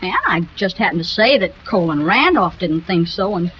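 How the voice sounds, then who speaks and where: A woman's voice: on the low side, expressive, normal speed, lightly acted. Woman playing a flustered, girlish teen in old radio. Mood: sheepish, miserable. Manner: gushing, hesitant, girlish. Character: flustered, naive teenage girl who fibbed about a boyfriend. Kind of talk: 1940s radio comedy-drama acting. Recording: dull, hissy old radio recording.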